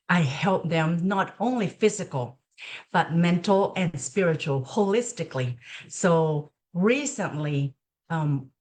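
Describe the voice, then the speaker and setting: A middle-aged woman with a Thai accent talks as an interview guest in a slightly low voice. She speaks very slowly and with hesitation, pausing often and filling the gaps with soft fillers as she gathers her thoughts. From Thailand. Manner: slow and hesitant, many pauses and fillers. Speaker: guest, registered nurse. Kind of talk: conversational interview.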